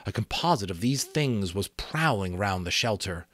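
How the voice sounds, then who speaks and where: A man with a fairly low voice narrates fiction as a dramatic reading. The recording is dry and close, with very little room in it. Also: expressive, moderate pace, fairly bright tone, no echo. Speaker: audiobook narrator.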